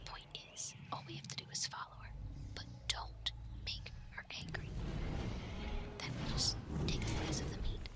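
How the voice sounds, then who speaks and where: A woman's voice: moderate pace, close to an everyday voice. A woman acting a chatty young island castaway who loves animals, in an intimate, rambling ASMR roleplay with hesitant, earnest explanations. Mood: hushed, awed whisper.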